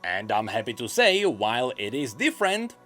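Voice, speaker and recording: Wry, animated male gaming news host. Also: mid-range voice, moderate pace. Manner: wry, dryly sarcastic asides. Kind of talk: scripted narration of video game news. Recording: music behind the voice. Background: background music.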